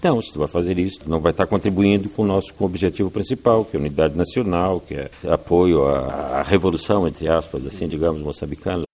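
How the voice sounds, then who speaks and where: An older man from Brazil with a very deep, low voice tells his story in an interview. He speaks at a measured pace and often tags his sentences with "né". He talks like a guest who is used to telling stories. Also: darkish tone. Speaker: guest, writer and filmmaker. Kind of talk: reflective interview answers.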